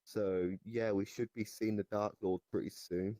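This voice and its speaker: A man playing a deranged goblin storyteller in an improvised comic interview, rambling haltingly and nonchalant about absurd claims. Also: on the low side, little pitch movement, dark tone, dry recording, studio quality, natural voice. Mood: nonchalant, boastful. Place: virtual reality voice chat.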